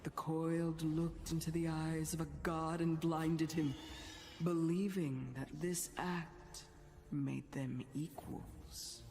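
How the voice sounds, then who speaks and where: A woman voicing a mysterious, wry storyteller in a fantasy game, narrating a fable in a deliberate, hushed way. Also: low-pitched, little pitch movement.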